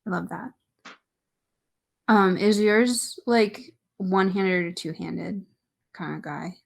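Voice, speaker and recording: A young woman co-hosting a podcast in casual chat, giggly and animated, full of "like" and "um". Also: medium pitch, takes it slowly, creaky. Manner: giggly, filler-heavy, animated. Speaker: podcast co-host.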